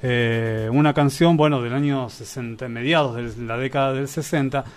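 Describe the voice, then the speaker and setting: A man's voice: medium pitch, fairly even intonation, moderate pace, soft background music. A man talking conversationally in a radio interview, explaining things and rephrasing as he goes. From Argentina. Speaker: record archivist guest. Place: radio programme.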